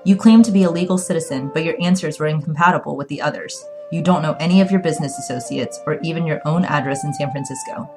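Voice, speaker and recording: A woman's voice: low-pitched, fast talker, hardly any pauses, over music. A woman voicing a formal, unyielding male immigration official speaking through a translator in an audiobook. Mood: stern, official.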